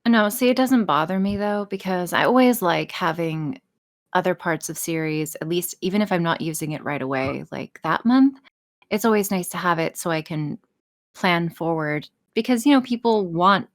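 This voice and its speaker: Female podcast guest, slightly low voice, candid, sweary, self-mocking casual talk. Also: expressive, moderate pace. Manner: self-deprecating, swears freely. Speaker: podcast guest, audio creator.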